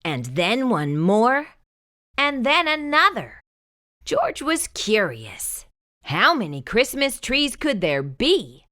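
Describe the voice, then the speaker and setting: A woman hosting a children's storytime, reading a picture book aloud in an expressive, sing-song way. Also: on the high side, slow.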